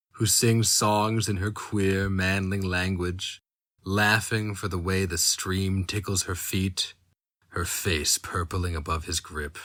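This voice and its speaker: A man giving a dramatic reading of dark fiction, slow and intense, rising into high, strained outcries at moments. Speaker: narrator.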